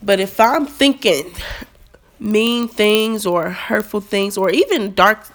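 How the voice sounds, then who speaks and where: A woman's voice: medium pitch, lively intonation, a bit bright. A woman hosting a devotional podcast, opening with a prayer and speaking earnestly and encouragingly, a little stuffy-nosed. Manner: slow, earnest, encouraging, with restarts. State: stuffy nose. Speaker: podcast host.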